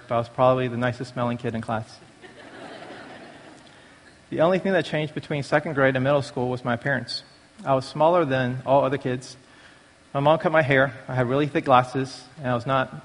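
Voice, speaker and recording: A man gives a prepared personal testimony at a church recovery meeting, speaking in a large, echoing hall. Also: mid-range voice. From born in Taiwan, raised in the United States from age five. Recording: long reverberant hall echo.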